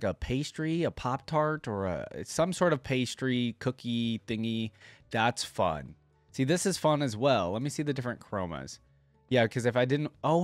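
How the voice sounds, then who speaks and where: A man's voice: mid-range voice, dry recording. A man giving casual reaction commentary, thinking aloud in informal, slangy language. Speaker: reaction video host.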